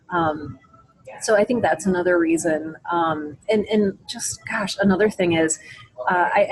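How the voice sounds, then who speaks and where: A woman's voice: fairly low pitch, husky, rough recording. A woman giving conversational, reflective interview answers, explaining her thinking aloud. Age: adult. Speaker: interview guest. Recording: faint background ambient noise.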